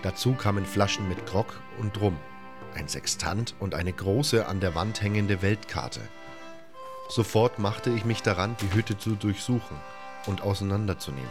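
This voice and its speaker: A man reading a first-person adventure story as a scripted audiobook narration. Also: fairly low pitch.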